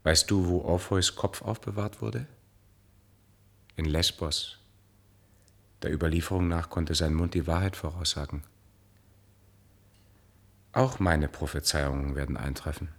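An actor playing a bitter, jealous betrayed singer in a German radio play, with a theatrical, sardonic and pensive delivery. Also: male voice, very deep voice, measured pace, long pauses.

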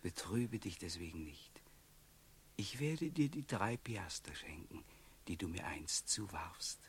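An actor playing a calm, enigmatic wandering companion in a German radio play, speaking in a measured, cryptic, hushed way. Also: male voice, low voice, slightly rough, exaggerated voice. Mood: gentle, cryptic.

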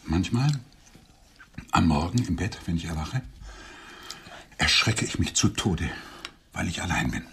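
Deep, swooping middle-aged suitor. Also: male voice, animated, creaky, a bit bright, a little hiss or hum, exaggerated voice. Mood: melancholy, self-pitying. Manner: florid, theatrical phrasing with pauses. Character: lonely middle-aged businessman, grandiloquent, self-pitying suitor on a blind date. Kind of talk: radio drama acting.

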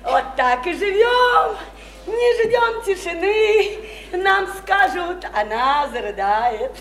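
An actress playing a brash, boozy, chattering widowed house painter, flirty and slangy, in a radio play. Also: female voice, very high voice, very animated, slow, a bit bright, roomy sound, slight background noise, heavily acted. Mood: teasing, sing-song. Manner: flirty, teasing, folksy and slangy. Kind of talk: theatrical radio play acting.

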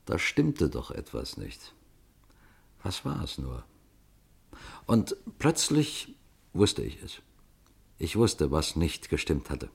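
A deep-voiced calm detective. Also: male voice, gravelly, slightly rough, exaggerated voice. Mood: measured. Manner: measured, addresses listeners directly. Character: calm older male police detective. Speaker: detective narrator. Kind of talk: radio play narration.

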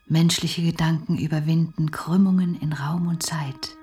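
An actor in a radio play voicing a solemn visionary who recites his thoughts in a breathy, incantatory half-whisper. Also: a little high, fairly even intonation, moderate pace, a little mellow, lightly acted. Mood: rapt. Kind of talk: poetic radio play monologue. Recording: music behind the voice.

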